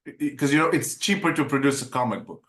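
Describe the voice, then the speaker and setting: A man's voice: mid-range voice, expressive, fast, a bit bright. A man from Bulgaria hosting a podcast interview on a video call, casual and rambling, with frequent stumbling restarts. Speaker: podcast host. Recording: echoey room.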